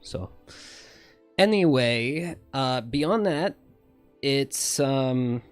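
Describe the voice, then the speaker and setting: A man's voice: medium pitch, expressive, extremely slow, clear, resonant tone, soft background music. A man with a slight lisp, chatting casually to his stream viewers in a rambling, profane, self-correcting way. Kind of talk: casual livestream chat.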